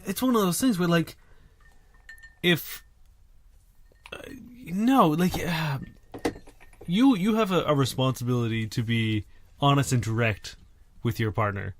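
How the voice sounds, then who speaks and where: A man's voice: on the high side, a little slow, pauses often, dry recording. A man co-hosting a podcast on cold medicine, talking laid-back with fillers and swearing. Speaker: podcast co-host. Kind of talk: casual chat.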